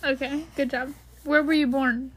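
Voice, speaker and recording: High-voiced young woman interviewer. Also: fairly even intonation, moderate pace, slight background noise. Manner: playful, teasing questions. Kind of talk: casual home interview.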